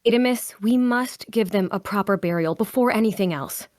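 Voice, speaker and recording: A woman's voice: mid-range voice, normal speed, a little mellow, no room sound, clean studio sound, natural voice. A woman playing an earnest, compassionate adventurer who insists on what is right, in a fantasy drama table read. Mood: indignant. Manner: indignant, pleading.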